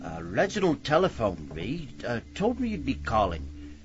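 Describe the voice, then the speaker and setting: An actor playing a wary neighbour who talks in terse phrases in a radio drama. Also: male voice, medium pitch, huge pitch swings, normal speed, dull audio. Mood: matter-of-fact. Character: terse, wary male neighbour in a block of flats.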